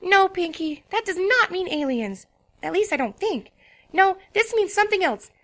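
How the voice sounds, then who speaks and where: Drowsy, studious unicorn mare voiced by a woman, halting and breathy with fatigue, high and lively. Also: full character voice. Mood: tired. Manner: tired, halting and worried. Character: exhausted scholarly female unicorn. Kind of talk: fan dramatic reading.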